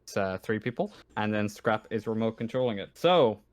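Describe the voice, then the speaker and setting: A man's voice: on the low side, expressive, moderate pace, dry recording. A man acting as game master in a casual tabletop roleplaying chat, informal and joking, with some swearing.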